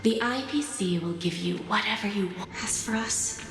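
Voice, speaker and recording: A woman's voice: mid-range voice, moderate pace, close to an everyday voice. An actress playing a grave, composed woman in a video game. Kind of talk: English video game voice acting. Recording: echoing voice over music. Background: game music.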